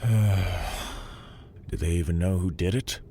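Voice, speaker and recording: An actor playing a weary, gruff police detective and devoted husband in an audio drama, with a dry, sardonic manner and a gravelly voice. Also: male voice, sits low, slow, dark tone, a little hiss or hum, heavily acted. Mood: irritated. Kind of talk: audio drama acting and first-person narration.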